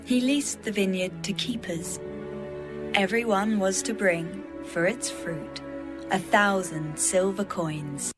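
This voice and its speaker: A woman playing a devoted, proud young bride in a dramatised Bible reading, speaking in a measured, poetic manner. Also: mid-range voice, music in the background, natural voice. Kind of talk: dramatised audio Bible reading over music.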